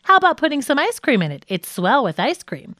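Soda jerk voiced by a female narrator, pushy and chatty, high, swooping delivery, very dry recording. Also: big pitch swings, brisk, a bit bright, no echo. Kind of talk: reading quoted comedy script in an audiobook.

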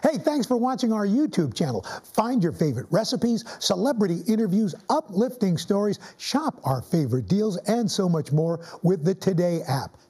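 A man's voice: high voice, very animated, moderate pace, few pauses, warm tone, slightly rough. An older man reading an upbeat channel promo. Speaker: show host reading promo.

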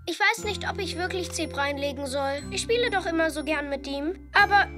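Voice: very high voice, normal speed, over music. A performer voicing a young cartoon zebra boy, sweet, eager and earnest, in a dubbed children's show. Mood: wistful, hesitant.

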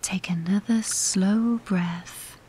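Slow, whispery woman guiding meditation. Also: mid-range voice, breathy edge. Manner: calm, soothing, unhurried with long pauses. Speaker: meditation guide. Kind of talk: scripted guided meditation. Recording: steady background hiss.

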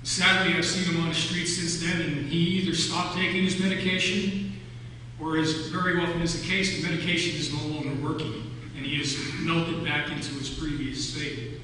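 A man's voice: fairly high pitch, steady tone, moderate pace, bright, forceful tone. Older male preacher in a very echoey church. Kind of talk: sermon, telling stories from notes. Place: large church sanctuary, at the pulpit. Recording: echoey church hall from far off, hum.

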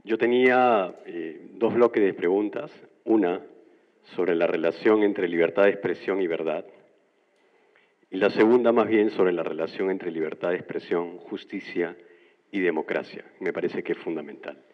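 A man's voice: deep voice, normal speed. Peruvian man asking a long academic question. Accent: Peruvian Spanish. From Peru. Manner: articulate, academic, long-winded. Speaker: audience member asking a question. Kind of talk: formal question from the floor. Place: university classroom. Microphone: handheld mic, standing. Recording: muffled, roomy lecture hall.

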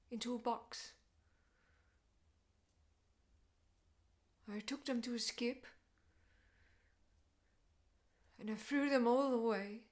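Actress doing a bitter grieving mum in a stage monologue, highish voice, intense, halting delivery. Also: female voice, close to an everyday voice. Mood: wounded, restrained. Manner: emotionally intense, deliberate, halting phrasing. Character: grieving, bitter mother confronting her ex-husband.

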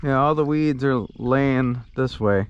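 A man speaks in a relaxed, easygoing way from a kayak out on open water, describing what he sees as he goes. His voice is recorded by a camera mounted on the boat, with slightly dull sound. Faint water and paddle sounds sit behind him. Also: mid-range voice, takes it slowly, a little hiss or hum. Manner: relaxed, narrating the scenery. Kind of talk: casual outdoor chat. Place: kayak on a calm lake outdoors. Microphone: action camera on the boat.

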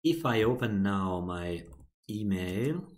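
Man, Italian native, unhurried and fairly low, plain step-by-step tutorial narration with restarts. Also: lively intonation. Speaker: software tutorial presenter. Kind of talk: screen-recorded software walkthrough.

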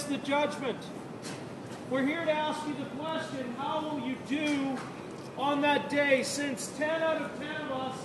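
A middle-aged man preaching a street sermon in earnest, projected, deliberate phrases. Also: very high voice. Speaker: open-air street preacher. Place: busy outdoor train platform in a city at rush hour. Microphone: handheld camera several metres away. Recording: distant voice in loud outdoor city noise, echoey. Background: loud street ambience and vehicles.

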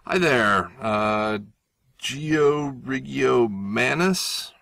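An actor playing a grumpy, unimpressed driving instructor and coach in a comic game, deadpan and grudging. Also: male voice, mid-range voice, drawn-out words, gravelly, full character voice. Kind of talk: comic game cutscene acting.

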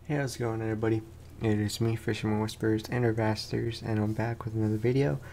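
A man's voice: on the low side, flat delivery, fast talker, vocal fry, dark tone, a little hiss or hum. A young man, sounding tired, gives casual let's play commentary as a gaming host, rambling along in slangy, informal speech.

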